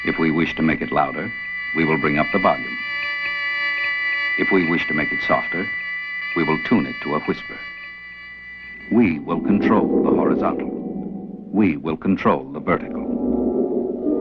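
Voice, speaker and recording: An actor playing a calm, commanding disembodied control voice in a 1960s television anthology opening, speaking in a measured, ominous, authoritative way. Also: male voice, deep voice. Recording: dull archive television audio with music. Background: music.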